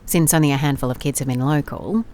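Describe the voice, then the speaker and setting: A woman's voice: low voice, quick pace, mellow, slight background noise, lightly acted. A woman playing a wry, methodical private investigator in an audio drama, musing and dictating case notes in a dry manner. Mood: musing, businesslike. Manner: dry, musing, clipped note-taking. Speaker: lead actor in audio drama. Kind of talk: first-person audio drama case log.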